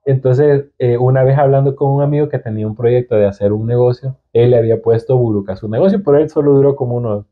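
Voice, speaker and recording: A man's voice: mid-range voice, fast talker, few pauses. A young Salvadoran man with a Salvadoran accent, chatting casually as a podcast guest with hesitant restarts. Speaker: guest entrepreneur. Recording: tinny phone-like sound.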